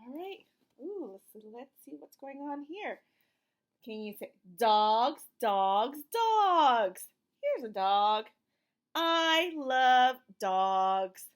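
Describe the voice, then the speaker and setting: A woman's voice: high-pitched, big pitch swings, extremely slow. A woman doing an interactive read-aloud for young children like a teacher, asking simple questions, giving encouraging prompts and reading the words with emphasis.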